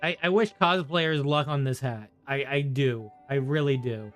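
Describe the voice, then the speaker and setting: A man's voice: medium pitch, normal speed, fairly bright tone. A man giving casual gameplay commentary as a streamer, rambling and often repeating himself. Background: faint game music.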